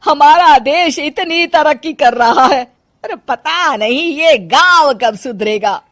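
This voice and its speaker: A woman's voice: high-pitched, expressive, slow, a bit bright, no room sound, clean studio sound, heavily acted. A woman voicing a middle-aged city mother-in-law in a cartoon story, fond but complaining, with melodramatic exclamations. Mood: exasperated. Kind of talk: Hindi cartoon moral-story acting.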